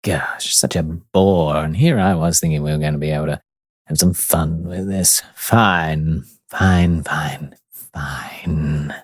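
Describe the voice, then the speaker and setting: A man's voice: very deep voice, steady tone, slow pace, no echo, full character voice. A man voicing a flippant, sulky shadow-fey trickster in a tabletop fantasy game, drawling in a showy, mock-bored way.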